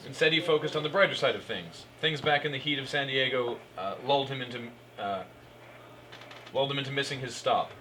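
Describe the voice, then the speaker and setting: A man's voice: medium pitch, a little fast, bright, forceful tone. A man doing a live comic read-aloud as narrator, stumbling over lines with amusement and cueing his fellow performers, in a noisy live room recording. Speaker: narrator reading fan fiction aloud.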